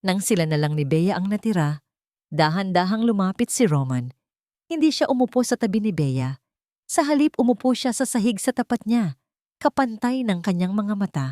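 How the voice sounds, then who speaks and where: A woman's voice: medium pitch, lively intonation, normal speed, dark tone, no room sound, studio quality. A woman narrating a scripted dramatic love story.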